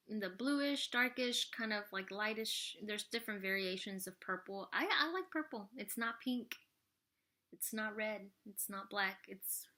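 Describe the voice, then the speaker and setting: A woman's voice: on the high side, pushed and bright. A woman in her thirties chatting casually to camera as she answers viewer questions, rambling with lots of "like" and "you know". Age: 30s.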